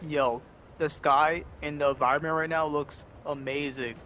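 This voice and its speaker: A man streaming a game with casual commentary, halting and repeating words as he thinks aloud. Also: fairly high pitch, fairly even intonation, a bit bright, slight background noise. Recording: game music behind the voice.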